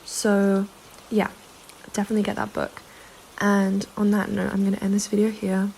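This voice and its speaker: A woman's voice: mid-range voice, monotone, moderate pace, creaky, a little mellow, a little hiss or hum, rough recording. A young woman vlogger, slightly under the weather, giving earnest, reassuring advice to camera with frequent fillers. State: slightly sick. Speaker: self-help vlogger. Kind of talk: casual advice talk to camera.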